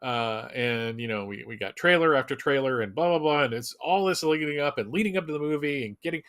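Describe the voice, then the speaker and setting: A man's voice: medium pitch, animated, fairly quick, a bit bright. Man in casual, emphatic fan talk. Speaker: Superman fan commentator on a fan live show.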